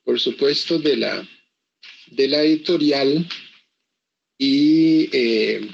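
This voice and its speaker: An older man from Costa Rica whose first language is English, answering interview questions about his books slowly, with many pauses and restarts. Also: medium pitch, vocal fry, muffled recording, a little hiss or hum. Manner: halting, full of pauses and restarts. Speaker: author being interviewed. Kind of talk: slow reflective interview answers.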